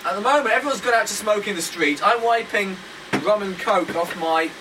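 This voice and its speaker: A man's voice: high voice, a little fast, distant mic, noisy background. A man hosting a live radio show, chatting in a rambling, self-mocking way from a studio. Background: loud electronic music.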